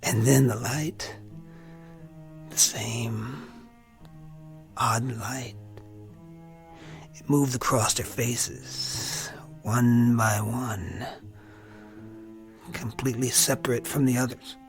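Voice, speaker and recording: An actor playing a troubled, weary army lieutenant in a radio drama, speaking in a halting, brooding, intense way. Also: male voice, medium pitch, steady tone, vocal fry. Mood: intense, haunted. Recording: radio drama with music underneath.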